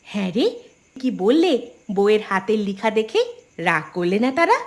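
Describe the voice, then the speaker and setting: A woman playing a bossy, resentful, scheming village mother who scolds in an animated story. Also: medium pitch, measured pace. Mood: prying. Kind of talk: Bengali animated story dialogue.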